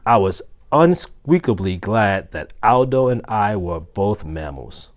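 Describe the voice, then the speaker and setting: A man reading a children's story aloud with expressive, deliberate phrasing. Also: on the low side. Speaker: assistant principal reading aloud.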